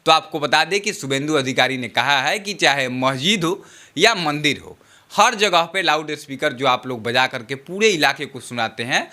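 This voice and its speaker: A man's voice: on the high side, lively intonation, moderate pace, bright, forceful tone. A man presenting and explaining political news in an emphatic, repetitive way.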